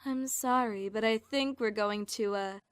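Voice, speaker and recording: A woman's voice: a little high, unhurried, clean tone, dry recording, close to an everyday voice. A woman playing a haughty, composed noblewoman in an audio drama, formal and politely condescending. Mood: coolly condescending. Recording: music under the voice.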